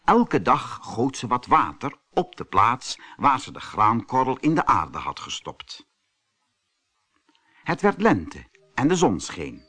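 A man reading a children's fairy tale as a storyteller, expressive and deliberate in his delivery. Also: medium pitch, breathy edge. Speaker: storyteller, audiobook reader.

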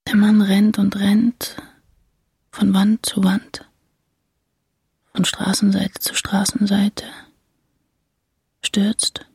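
A hushed witness in a poetic radio drama. Her voice is extremely breathy, slow and flat, as she recounts fragments in near-whispers. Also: mid-range voice, full character voice. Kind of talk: German radio play, poetic narration.

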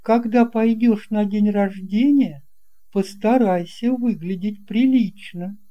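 A kindly, practical mother from a storybook, played by a woman reader. Her voice sits fairly high. She gives instructions in a matter-of-fact way. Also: takes it slowly, lo-fi sound, lightly acted. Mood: instructing. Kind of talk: audiobook character voice.

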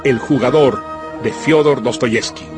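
A man's voice: mid-range voice, a little slow, music in the background. A man announcing a radio programme in a scripted style.

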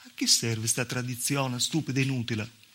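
A man's voice: medium pitch, fairly even intonation, moderate pace, pushed and bright, natural voice. A male stage actor voicing village men who grumble stubbornly and repetitively against a useless tradition in a theatrical monologue. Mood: grumbling, insistent. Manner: complaining, insistent, repetitive chant. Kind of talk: theatrical monologue, quoted crowd voices. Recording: noisy live theatre recording.

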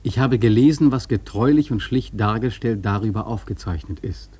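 An actor playing an earnest, reflective seafaring narrator in a radio play, with measured, rhetorical literary delivery and a husky, mellow voice. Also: male voice, fairly low pitch, no echo. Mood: earnest, explaining.

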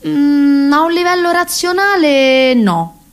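A woman's voice: sits high, animated, slow pace, full character voice. An impassioned woman of about thirty. She rambles and corrects herself, swinging between hesitation and mock-solemn emphasis as in a comic stage monologue for radio. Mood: flustered, hesitant. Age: 30s.

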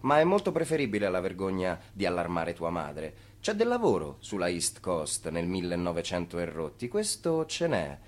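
A man playing a dry, ironic narrator in a radio play, speaking in clipped, deadpan phrases. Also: deep voice, normal speed, hardly any pauses.

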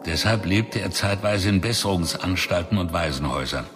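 An actor playing an old drifter father, proud and gruff, talking slowly and wearily with flashes of rage in a radio drama. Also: deep voice, expressive, music in the background.

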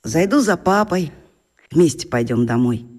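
A man's voice: on the high side, animated, unhurried, darkish tone. A man playing a schoolboy who muses aloud to himself in a children's radio drama. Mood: musing.

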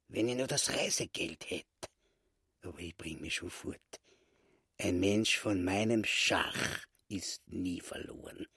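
A histrionic little swindler boss in a radio play, self-pitying and wheedling. The voice creaks and rasps, drawing words out slowly in a very dry, close studio sound. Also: male voice, fairly low pitch, no echo, heavily acted. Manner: theatrical, wheedling, emphatic pauses.

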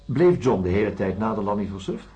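A man's voice: fairly low pitch, very animated, brisk, warm tone, lo-fi sound, faint music behind. An actor playing a persistent male doctor in a radio play, probing with questions. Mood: questioning.

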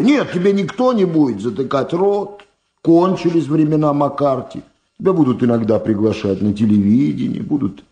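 Smooth, menacing boss in an echoey room. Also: male voice, a little high, a little mellow. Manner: mock-friendly, theatrical, drawn-out emphatic words. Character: menacing American politico, smooth, patronizing, threatening powerful man. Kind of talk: stage-like radio drama acting.

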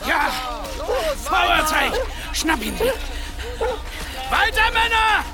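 High-pitched, shouting hunt leader. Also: male voice, animated, extremely slow, over loud music, a loud crowd, full character voice. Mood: rousing. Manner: urgent, commanding. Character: excitable village leader rousing bear hunters. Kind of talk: German historical radio drama acting.

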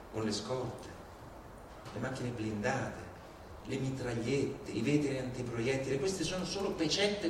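A man performing a theatrical civic monologue, deliberate and rhetorical, with indignation and dramatic pauses. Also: mid-range voice. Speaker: stage performer. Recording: very echoey, distant, clearly noisy.

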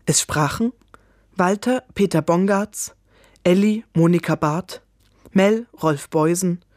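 A woman's voice: on the low side, slow pace, a little mellow, no room sound, clean studio sound. A woman announcer reading scripted credits for a radio play.

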